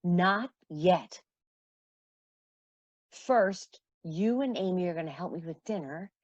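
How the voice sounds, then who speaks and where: Radio play mother: stern peacekeeper, slow, expressive, slightly muffled sound. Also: lowish voice, dry recording. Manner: measured, firm, admonishing. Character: Marmee, firm mother keeping peace among teenage daughters.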